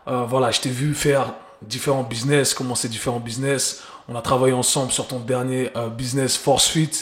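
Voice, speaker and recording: A man's voice: medium pitch, little pitch movement, a little fast. A man, hosting a casual podcast and chatting loosely with English slang mixed in. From Geneva, Switzerland. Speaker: podcast host. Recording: roomy echo.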